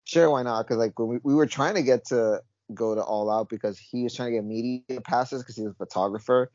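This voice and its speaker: A North American man co-hosting a podcast in casual chat, laid-back with drawn-out uhs. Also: mid-range voice, a little mellow, no echo, muffled recording. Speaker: podcast co-host.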